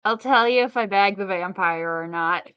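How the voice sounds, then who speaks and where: A woman's voice: mid-range voice, normal speed, clear, resonant tone, no echo, lo-fi sound. A woman co-hosting a casual podcast, chatty and opinionated, with wry, rambling asides. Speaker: podcast co-host.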